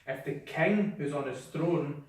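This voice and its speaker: A man's voice: fairly high pitch, a little slow. A man giving a blunt, sweary sales training talk to a small group, acting out how people sound. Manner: blunt, swears freely, acts out voices. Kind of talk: workshop talk. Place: indoor room with a small group. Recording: echoey room, mic far off.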